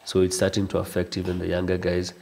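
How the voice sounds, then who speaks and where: A man with a Kenyan accent, an ENT doctor calmly explaining throat conditions in a TV interview and slipping into Swahili phrases. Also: low-pitched, fast talker, vocal fry, slight background noise.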